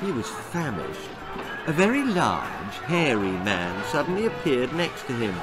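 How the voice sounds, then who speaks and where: Older guy in his 60s reading a spooky kids story, expressive, music behind. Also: mid-range voice, poor audio. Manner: expressive, measured, suspenseful. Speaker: author reading own story. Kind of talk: dramatic children's storytelling. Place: small book-lined room at home.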